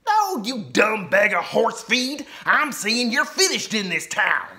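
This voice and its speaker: A man's voice: high-pitched, very animated, gravelly, voice pushed up, full character voice. A man voicing a fearsome male Wild West outlaw in a storybook reading, snarling and drawn out. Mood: menacing. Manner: slow, shouted, snarling delivery. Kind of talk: storybook read-aloud.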